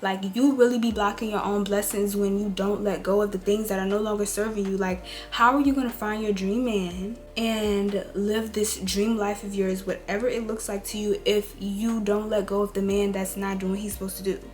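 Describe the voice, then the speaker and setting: A woman in her twenties with a raspy, rough voice from a cold, giving casual advice straight to her viewers. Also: medium pitch, steady tone, normal speed, few pauses, darkish tone. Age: 20s. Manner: chatty, direct, addresses viewers as y'all and girl. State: hoarse from a cold. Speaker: beauty and lifestyle vlogger. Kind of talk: casual girl-talk advice.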